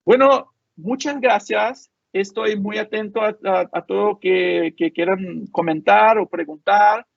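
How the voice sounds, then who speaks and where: Gravelly, husky man lecturing over a call. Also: high-pitched, dry recording. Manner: halting, many fillers and restarts. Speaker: conference speaker. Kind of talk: academic talk with Q&A. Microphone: video call. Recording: dull lo-fi call audio.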